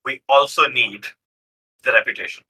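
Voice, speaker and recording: A man's voice: mid-range voice, moderate pace, sharp tone, breathy edge. A man bantering casually as a player on a tabletop roleplay podcast.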